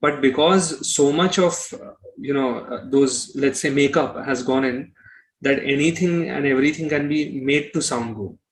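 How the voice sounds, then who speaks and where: A man's voice: medium pitch, normal speed, rough recording. A man speaking as an interview guest, blunt and opinionated, often repeating himself. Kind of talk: conversational interview.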